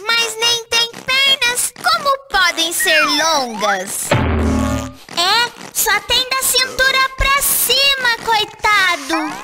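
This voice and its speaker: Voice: extremely high pitch, very animated, extremely slow, exaggerated voice. An actor voicing a silly, teasing, giggly childlike cartoon creature with a Brazilian accent. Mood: cheeky. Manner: giggly, exclamatory, taunting. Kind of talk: cartoon dialogue in Portuguese. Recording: loud cartoon music behind. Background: children's music, boing effects.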